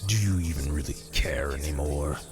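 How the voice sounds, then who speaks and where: A man playing a dead murderer who haunts and taunts his former pupil in an audio drama, slow and accusing. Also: a bass voice, over loud music. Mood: menacing, taunting.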